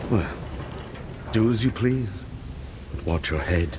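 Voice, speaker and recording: A man's voice: on the low side, animated, takes it slowly, background noise. A man playing a wry, dreamy rebel leader in a video game, with a musing, storyteller-like delivery. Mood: calm, teasing. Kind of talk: dramatic video game cutscene acting.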